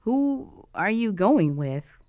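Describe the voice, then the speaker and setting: A woman's voice: medium pitch, sing-song, takes it slowly, dark tone, no room sound. A young woman playing a jealous, flustered roommate with a secret crush in an intimate romantic audio roleplay, speaking in a hesitant, conversational way. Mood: puzzled, insistent. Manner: conversational, hesitant, sighing, emotionally exposed.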